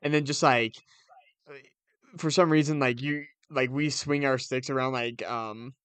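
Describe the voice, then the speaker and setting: Young guy chatting on a podcast, clear smooth voice, dry sound. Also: mid-range voice, fairly even intonation, a little slow, a bit bright, no room sound. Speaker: podcast co-host. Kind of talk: casual chat.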